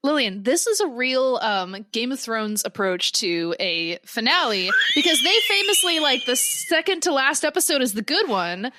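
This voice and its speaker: A woman co-hosting a podcast, bantering casually and comedically in an animated, irreverent way. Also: sits high, moderate pace, few pauses, heavy background noise. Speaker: podcast co-host.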